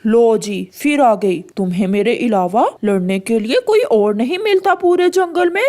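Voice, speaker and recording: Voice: mid-range voice, animated, measured pace, mellow, natural voice. An actor voicing an exasperated, defensive grown male bird in a cartoon, grumbling and protesting. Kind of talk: cartoon dialogue.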